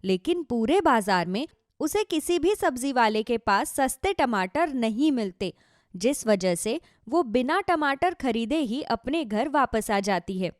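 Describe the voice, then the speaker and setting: High-pitched woman narrating a moral story. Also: big pitch swings, a little slow, no room sound, studio quality.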